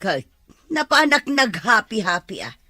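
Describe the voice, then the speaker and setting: A woman plays an older mother fond of drinking and gambling. She defends herself in a whining, bright, tense voice. The sound is close and dry. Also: on the high side, sing-song, unhurried, dry recording, full character voice. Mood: defensive.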